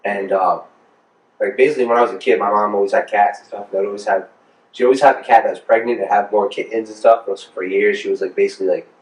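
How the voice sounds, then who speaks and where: A man's voice: fairly low pitch, steady tone, quick pace, far from the mic. An American man in his thirties chatting casually in an interview as a music producer, informal, slangy and rambling. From Rhode Island, United States. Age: 30s. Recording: roomy, echoing, off the mic.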